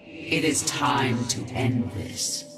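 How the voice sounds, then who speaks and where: Godlike alien heroine, female. Grave, very slow delivery, otherworldly echo, game cinematic. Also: a bass voice. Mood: solemn resolve. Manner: measured, grave, portentous. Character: ascended godlike alien woman, solemn and resolute. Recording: echoing, distant, loud music behind.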